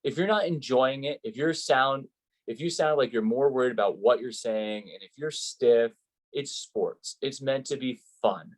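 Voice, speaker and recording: A man's voice: mid-range voice, normal speed. A man with an ordinary mid-range voice talks as an interviewed play-by-play announcer. He explains things conversationally and earnestly, putting emphasis on the points he cares about. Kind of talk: conversational interview, giving advice.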